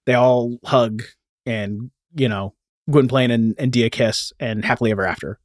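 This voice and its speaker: A man's voice: medium pitch, normal speed, no echo, clean studio sound. A man hosting a podcast, telling a story in a casual, informal way peppered with "like" and "you know.". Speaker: podcast host.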